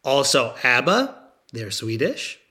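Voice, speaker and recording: A man's voice: medium pitch, sing-song, slow pace, bright, forceful tone. A young man co-hosting a casual comedic podcast, rambling and joking, often trailing off mid-thought, talking into a handheld microphone. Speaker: podcast co-host. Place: in France, apart from co-host.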